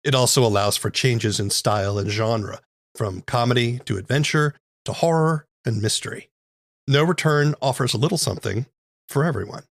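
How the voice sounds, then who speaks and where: A man's voice: mid-range voice, normal speed, gravelly, dry recording, studio quality. A man hosting a podcast, with scripted narration and interview questions. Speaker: podcast host.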